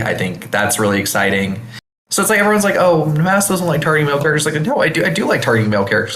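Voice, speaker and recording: A man's voice: medium pitch, a bit bright, slight background noise. A man chatting casually on a podcast, swearing freely, with an emphatic, enthusiastic manner. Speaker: podcast host. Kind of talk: casual chat, crude banter. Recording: roomy, echoey room sound.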